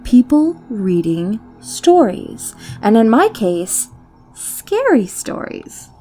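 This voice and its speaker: A woman's voice: a little high, big pitch swings, takes it slowly, warm tone. A woman hosting and narrating in a scripted, theatrical and whimsical storytelling style, with playful delivery. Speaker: YouTube host and story narrator. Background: background music.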